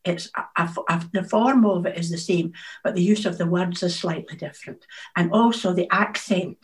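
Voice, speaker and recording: A woman, an author answering interview questions in a thoughtful, measured way. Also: lowish voice. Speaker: author, guest.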